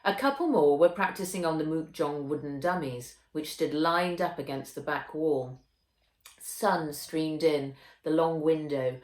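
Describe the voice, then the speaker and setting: Low-voiced woman reading a children's story. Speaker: author reading own novel aloud. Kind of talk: children's audiobook narration. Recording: roomy echo.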